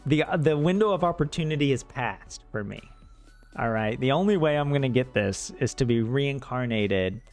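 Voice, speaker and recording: Man from the American South, casual livestream chat, rambling, joking, self-deprecating, animated pitch, faint background music. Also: moderate pace. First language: English. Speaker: streamer learning Finnish.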